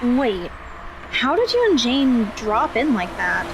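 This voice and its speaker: A woman's voice: fairly high pitch, sing-song, slow pace, heavily acted. A woman playing a blunt, questioning, slightly sardonic teenage girl in an audio drama. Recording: clear background noise.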